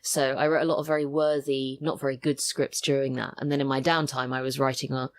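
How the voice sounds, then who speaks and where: A woman's voice: very deep voice, little pitch movement, fairly quick. A woman in her twenties chatting casually as a podcast guest who writes audio drama. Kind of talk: casual interview chat.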